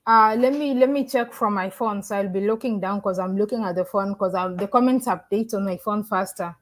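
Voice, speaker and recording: A woman's voice: mid-range voice, fairly quick. A woman from Africa who lives in the Caribbean, chatting casually on a live stream. She reads viewer comments and talks to them directly, often restarting her sentences. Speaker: YouTuber livestreaming and reading chat. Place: living in the Caribbean.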